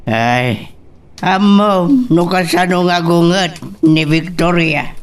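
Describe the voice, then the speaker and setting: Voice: mid-range voice, slow, clean tone, lightly acted. A performer with an Ilocano Filipino accent acting a worried parent in a family romance drama. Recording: distant mic, music behind.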